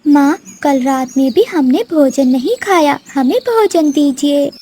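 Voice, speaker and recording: A woman's voice: sits high, expressive, a little slow, mellow. A woman voicing a hungry young crow chick with a childish, whiny, pleading manner in a cartoon. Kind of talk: Hindi moral-story cartoon acting.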